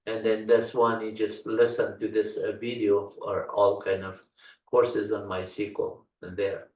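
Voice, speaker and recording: Older man, low and roomy. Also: level tone, a little mellow. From Afghanistan. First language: Dari. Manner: halting, frequent "uh" fillers, folksy. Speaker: computer science instructor. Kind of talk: screen-share software tutorial walkthrough. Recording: roomy sound, distant from mic.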